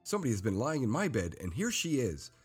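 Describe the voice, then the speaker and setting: A little wee male bear from a storybook, acted by a man. He is childlike and upset, with a small, sharp, shrill voice that swings about over faint music. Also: wildly swooping pitch, fast talker, slightly rough, exaggerated voice.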